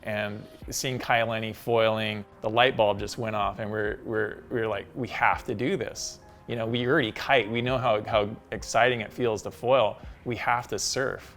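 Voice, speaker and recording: A middle-aged man from Hawaii, a company co-founder talking casually and quickly, full of "like" and "you know". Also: lowish voice, fairly even intonation, a bit bright, faint music behind. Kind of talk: casual promotional chat.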